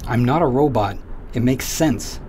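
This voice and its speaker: A man's voice: mid-range voice, unhurried, dark tone, a little hiss or hum, close to an everyday voice. An actor playing an earnest, literal-minded crewman who talks in a plain, matter-of-fact way. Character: literal-minded man crew member, earnest, flat.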